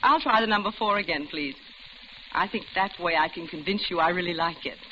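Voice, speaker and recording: Lonely widow, heavy radio hiss. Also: female voice, fairly low pitch, a little fast, pushed and bright. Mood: polite. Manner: polite, talkative, hesitant. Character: lonely middle-aged widow, chatty, polite, increasingly uneasy. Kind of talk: 1940s radio acting, first-person narration and dialogue. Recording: muffled, very noisy old radio recording.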